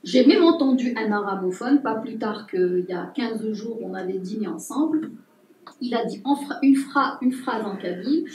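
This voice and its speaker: A woman anthropologist speaking at a public talk, pausing often with fillers and restarts. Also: on the high side, animated, darkish tone, slight background noise. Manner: hesitant, many fillers and restarts. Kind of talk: unscripted talk to an audience. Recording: roomy distant mic with faint ambience.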